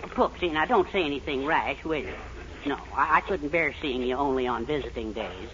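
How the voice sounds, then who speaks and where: An actor playing a meek, placating middle-aged husband with sly wisecracks in a 1940s radio sitcom. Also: male voice, medium pitch, moderate pace, fairly bright tone, natural voice. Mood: anxious, hesitant. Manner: placating, hesitant. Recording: noisy, muffled 1940s radio recording.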